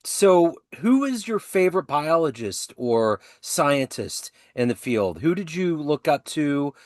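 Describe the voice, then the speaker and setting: A man's voice: on the high side, sing-song, takes it slowly, no room sound, studio quality. A male host asking a guest direct, eager questions in an interview.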